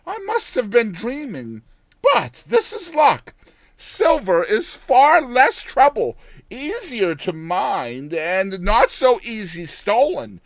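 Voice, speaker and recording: Guy doing a high swoopy old village granny, cheery and easily thrilled, folksy exclaiming, fairy tale style. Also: huge pitch swings, takes it slowly, dry recording, heavily acted. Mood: surprised, contented. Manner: exclaiming, folksy rustic speech. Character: cheery poor old countrywoman, giddy and ever optimistic.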